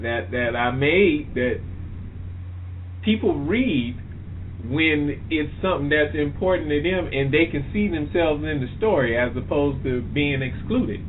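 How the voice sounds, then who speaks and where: A man's voice: a little high, unhurried. A man hosting a casual radio interview, talking informally and emphatically over a phone line. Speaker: radio show host interviewing a guest. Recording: tinny phone line with hiss.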